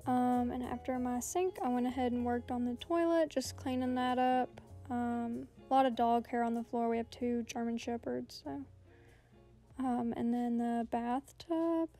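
A woman's voice: on the high side, steady tone, normal speed, dark tone, far from the mic, faint music behind. A young woman, chatting casually through a home cleaning vlog with lots of ums. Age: young adult. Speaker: vlogger. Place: small house rooms, indoors. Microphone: camera on a stand, from across the room.

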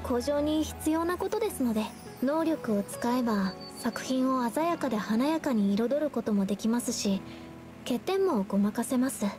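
High-voiced, shy young painter, over music. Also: female voice, animated, moderate pace, darkish tone. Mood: earnest. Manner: polite, hesitant, apologetic. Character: shy, earnest, naive young woman painter. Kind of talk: anime game voice acting. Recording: background music under the voice.

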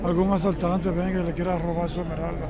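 A man's voice: sits high, little pitch movement, fast. A man with a Dominican accent interviewing people in a busy city plaza, asking short, amazed questions. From Dominican Republic. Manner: curious, amazed, asks many short questions. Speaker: street interviewer. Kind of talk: casual street interview. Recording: busy outdoor crowd noise. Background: crowd chatter and traffic.

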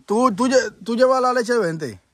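A man's voice: very high-pitched, lively intonation, normal speed, a bit bright, no room sound. A man with a Dominican Spanish accent, hosting a casual outdoor interview and talking to his viewers. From Dominican Republic. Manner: friendly, addresses viewers as "señores". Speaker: vlogger host. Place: outdoors in rural hills.

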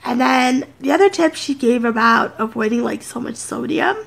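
A woman's voice: fairly high pitch, normal speed, gravelly, rough recording. Woman, 30s, home vlogger, congested and nasal, chatty and loose, close camera. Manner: rambling, chatty, many fillers. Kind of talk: casual sit-down chat to camera. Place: home office, indoors.

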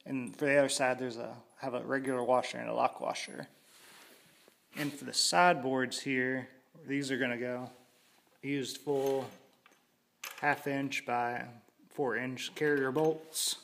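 A man's voice: mid-range voice, fairly even intonation, vocal fry, fairly bright tone, slight background noise. A man giving a casual how-to walkthrough in a garage, talking plainly with frequent restarts as he films on a handheld phone. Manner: plain, halting, restarts mid-sentence. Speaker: DIY hobbyist showing a build. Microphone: handheld phone camera.

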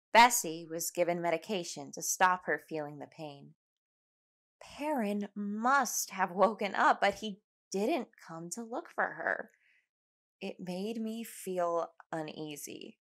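A determined young woman haunted by what she has seen. Her voice is slightly low and very unhurried. She recounts events gravely and haltingly, as in an audio drama. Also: a bit bright, heavily acted. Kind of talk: audio drama narration and dialogue.

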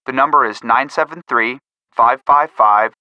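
A man's voice: mid-range voice, a little slow, dry recording, dull audio, close to an everyday voice. An actor playing a level-headed, drily witty teenage boy sleuth in scripted video game dialogue. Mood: friendly, wry.

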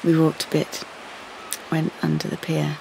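A woman's voice: sits low, monotone, a little slow, vocal fry, noisy background, full character voice. An actress playing a candid young British woman in an audio play, confiding memories of a romance. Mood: intimate, nervous. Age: young adult. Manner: confiding, intimate storytelling. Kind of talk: audio play monologue with dialogue.

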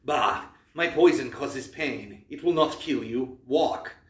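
A man's voice: medium pitch, slow, lightly acted. A man voicing a cold, menacing monster in disguise. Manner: cold, clipped, formal commands. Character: menacing monster man posing as a stern teacher. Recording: roomy, from a distance.

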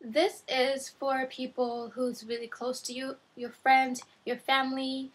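A young woman whose first language is Japanese, teaching simple phrases in a casual video lesson from her bedroom, speaking slowly and repeating each phrase twice on a webcam mic. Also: high voice, level tone, slightly rough. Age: young adult. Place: bedroom, indoors. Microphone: webcam at desk.

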